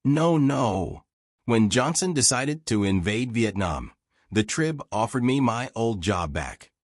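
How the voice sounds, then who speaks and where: A man's voice: medium pitch, sing-song, slow pace, no room sound, clean studio sound. A man voicing a frank, wry old American war reporter reminiscing in folksy, self-deprecating audiobook dialogue.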